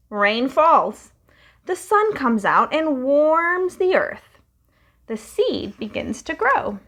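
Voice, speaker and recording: Young woman reading picture books aloud for kids, sing-song and unhurried. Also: sits high. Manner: slow, lively, sing-song storytelling. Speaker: children's storytime reader.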